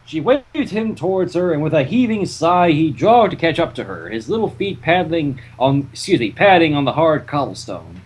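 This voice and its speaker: A man's voice: on the high side, big pitch swings, moderate pace, few pauses, breathy edge, poor audio. A man co-hosting and narrating a live fan fiction reading, with casual banter between passages. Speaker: narrator and co-host.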